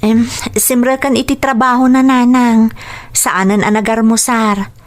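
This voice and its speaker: A woman plays a dutiful young wife in a radio drama. Her voice sits a little high, and she speaks softly and hesitantly, deferring to her elders with patience. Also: fairly even intonation, unhurried, lightly acted. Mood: subdued, reassuring. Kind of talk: Ilocano radio drama acting.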